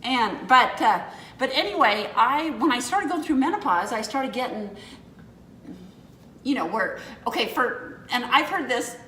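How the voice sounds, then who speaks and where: A woman's voice: a little high, expressive, a little slow, pushed and bright. Fifties lady chatting away, rambles and backtracks a lot, roomy echo w/ a little hiss. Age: 50s. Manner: rambling, self-correcting storyteller. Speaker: co-host. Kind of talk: casual chat.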